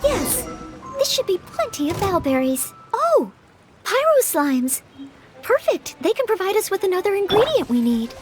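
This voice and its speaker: A woman's voice: extremely high pitch, sing-song, normal speed, music in the background. A woman voicing an earnest, polite, self-doubting young maid who hopes to be a knight in an anime-style video game, speaking with careful diction. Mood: pleased.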